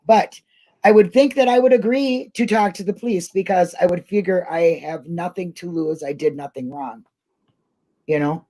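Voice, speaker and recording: A woman's voice: fairly low pitch, normal speed, mellow. Chatty, rambling woman. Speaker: host of an online talk show. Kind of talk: casual live discussion.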